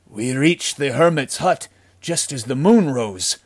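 A man voicing a dying, desperate bandit in halting, strained phrases for an adventure story. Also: mid-range voice, expressive, husky, no echo, clean studio sound, pitched above the performer's own voice. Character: mortally wounded bandit, staggering and desperate. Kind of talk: dramatic storybook character acting.

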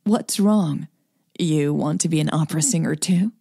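A woman's voice: deep voice, moderate pace, creaky, warm tone, slightly rough, dry recording, heavily acted. A woman voicing a wry, tired young woman. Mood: dry teasing. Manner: dry, teasing asides to her cat.

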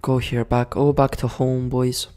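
A man giving casual commentary over a management game, excitable, swearing and yelling orders at his units. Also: medium pitch, unhurried, faint music behind. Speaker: gaming channel host.

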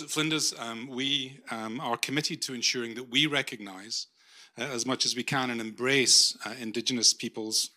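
A man's voice: mid-range voice, pushed and bright. A middle-aged man, a university leader, giving a formal vote of thanks in a lecture room, speaking into a handheld microphone with many ums and restarts. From not Australia. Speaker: university vice-chancellor.

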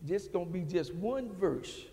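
An older man preaching a sermon from a church pulpit into a podium microphone, building from quiet talk into fervent, rising calls to the congregation. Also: high-pitched, lively intonation, unhurried. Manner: preaching with rising call-and-response intensity. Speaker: pastor. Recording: church hall reverb.

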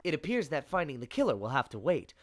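A performer voicing a suave, smug teenage would-be detective in an anime parody, speaking in a pompous, formal, measured way. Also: mid-range voice, sing-song, heavy background noise, lightly acted. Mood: smug, composed. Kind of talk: anime parody dub acting.

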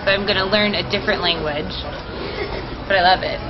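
A woman's voice: mid-range voice, fairly even intonation, fairly quick, background noise. A young woman volunteer chatting enthusiastically at an outdoor market. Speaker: mission volunteer. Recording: muffled, busy market crowd behind.

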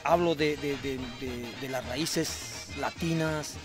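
A man from Jalisco, Mexico, a singer answering interview questions outdoors in a hesitant, halting way. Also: medium pitch, over music. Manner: hesitant, halting, many restarts. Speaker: singer guest. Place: outdoor fairground patio.

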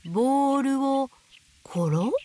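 High, sing-song motherly owl storyteller. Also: expressive. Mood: playful storytelling. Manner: gentle, slow, sing-song, playful sound words. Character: gentle motherly female owl teacher reading picture books in a forest. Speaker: picture book storyteller for babies. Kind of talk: picture book read-aloud for toddlers. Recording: faint background noise of nature. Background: nature sounds.

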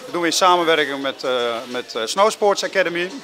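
A man managing a ski school, giving a promo interview in a halting way with many fillers. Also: fairly high pitch, bright, forceful tone.

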